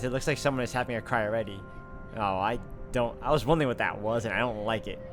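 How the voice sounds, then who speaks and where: A man playing and reading a horror visual novel aloud, mixing dramatic narration with casual asides as a let's player. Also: medium pitch. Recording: loud music behind the voice.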